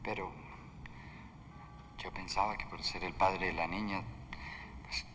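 Colombian father in a movie, humble n earnest, deep-ish, echoey room w bit of hiss. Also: steady tone, unhurried. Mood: respectful, earnest. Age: middle-aged. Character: humble, earnest Colombian father seeking his daughter's sainthood. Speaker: actor. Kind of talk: naturalistic film acting. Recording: echoey reverberant halls with faint noise.

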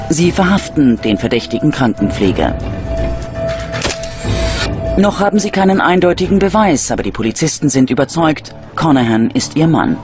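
A man reading scripted true-crime documentary narration as the narrator. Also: on the high side. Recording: music behind the voice. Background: background music.

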